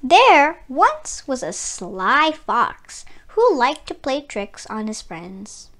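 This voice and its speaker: A woman reads a children's story aloud in a high voice. Her delivery is slow and expressive, with a lively rise and fall suited to young listeners. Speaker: children's book read-aloud narrator.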